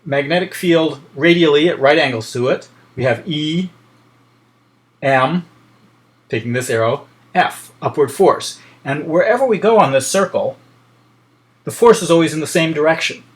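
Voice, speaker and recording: A man lecturing in an informal, explanatory way, thinking aloud with frequent hesitations. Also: mid-range voice.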